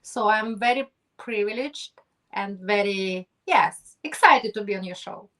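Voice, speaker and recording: A woman's voice: medium pitch, measured pace, fairly bright tone. Effusive woman, distant mic. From Ukraine. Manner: effusive, grateful, non-native phrasing. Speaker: guest. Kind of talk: conversational interview.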